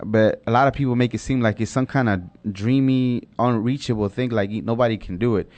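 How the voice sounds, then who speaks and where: A man's voice: medium pitch, a little fast, darkish tone, dry recording, dull audio. A man whose first language is Spanish, hosting a casual podcast chat and rambling with lots of self-corrections. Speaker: podcast host.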